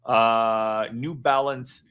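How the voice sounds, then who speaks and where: Casual male speaker in a home office, rambling, lots of fillers and restarts, mid pitch. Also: fairly even intonation, very slow, clear, resonant tone, narrow, tinny audio. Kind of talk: casual live-stream chat.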